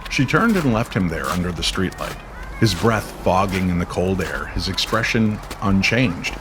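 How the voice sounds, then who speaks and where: A man's voice: low voice, animated, normal speed, noisy background. A man narrating a scripted mystery story in a dramatic storytelling style.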